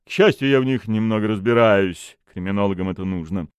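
A man's voice: lowish voice, brisk, smooth, dry recording, dull audio, lightly acted. A man voicing a haughty, self-assured expert in criminology for an audiobook, lecturing with a pompous drawl. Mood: confident. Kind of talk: audiobook character acting.

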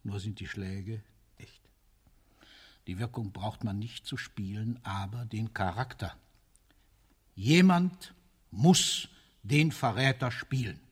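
An actor playing a world-weary, cynical military ruler in a radio drama, speaking in a bitter, deliberate, rhetorical way. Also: male voice, fairly low pitch, slightly rough. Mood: sardonic, emphatic. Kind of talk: theatrical radio drama monologue.

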